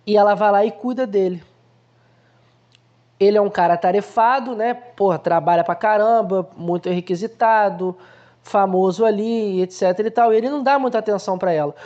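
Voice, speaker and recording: Mellow-voiced man talking through a film. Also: high voice, fairly quick, lo-fi sound. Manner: conversational, explains by acting out everyday examples. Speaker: film analysis host. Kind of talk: casual explanatory film commentary.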